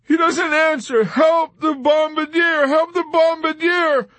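A man's voice: very high-pitched, steady tone, a little slow, a bit bright, no echo, voice pushed up. A man voicing a hysterical young male pilot in an audiobook, weeping and pleading desperately. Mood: sobbing, begging. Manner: sobbing, pleading.

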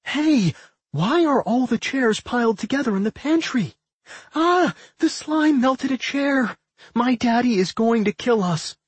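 A narrator voicing an excitable, outspoken human girl in a fantasy audiobook, expressive and dramatic. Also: medium pitch, a little slow, breathy edge, no room sound, pitched above the performer's own voice, exaggerated voice. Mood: alarmed.

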